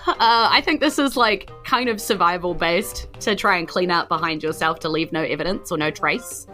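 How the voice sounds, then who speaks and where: A woman's voice: on the low side, normal speed, sharp tone, faint music behind. A woman acting as game master, casually narrating a tabletop role-playing game.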